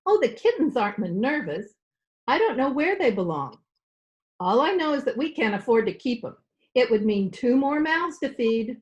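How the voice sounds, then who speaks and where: Radio comedy role: dotty, talkative older landlady played by a woman, highish pitch, swooping intonation. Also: very animated, normal speed, dull audio. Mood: chatty, earnest. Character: absent-minded, chatty older landlady. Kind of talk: old-time radio comedy acting. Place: recorded remotely at home.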